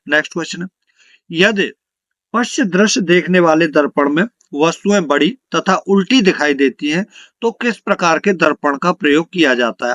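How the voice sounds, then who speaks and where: A man's voice: fairly high pitch, fairly bright tone, no room sound. A man teaching an exam lesson, reading out questions and answers and repeating them for emphasis.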